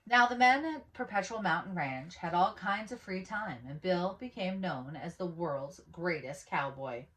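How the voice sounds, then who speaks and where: A woman reading a picture book aloud as a storyteller, with animated, dramatic emphasis on key words. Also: fairly low pitch, a little slow, low-quality recording. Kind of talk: expressive children's story read-aloud.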